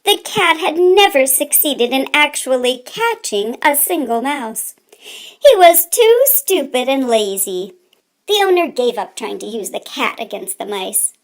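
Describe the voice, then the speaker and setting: High-pitched, slow, animated woman storyteller. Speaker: storyteller reading a children's book aloud. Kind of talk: expressive read-aloud narration for children.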